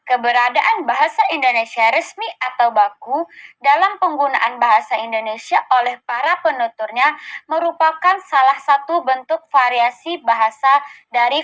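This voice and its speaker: A young woman reads out her group's class presentation, keeping to the prepared text at a steady, careful pace. Her voice sits a little high and sounds bright and crisp. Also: poor audio. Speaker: student presenter.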